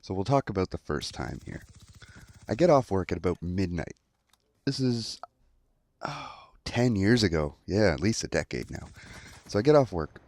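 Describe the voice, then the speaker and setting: A man's voice: deep voice, big pitch swings, normal speed, long pauses, darkish tone, no room sound. A young adult man telling a story in a casual commentary style, acting out quotes as he goes. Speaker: online storyteller.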